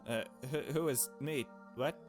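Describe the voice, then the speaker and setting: A man voicing a polite, smoothly genial male murder suspect from a visual novel, courteous and feigning innocence. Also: mid-range voice, measured pace, music in the background, voice pushed up, close to an everyday voice. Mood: feigned bewilderment.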